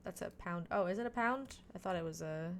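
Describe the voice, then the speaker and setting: Chatty woman streamer, lowish voice. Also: fairly quick, a little hiss or hum. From Montreal, Quebec, Canada. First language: French. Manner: chatty, thinking aloud, self-deprecating asides. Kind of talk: casual chat while gaming.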